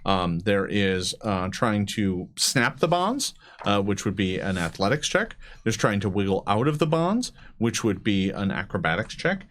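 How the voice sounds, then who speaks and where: A man working as game master, improvising narration and explaining rules casually, with hesitant, pausing phrasing. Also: on the low side, sing-song, a bit bright.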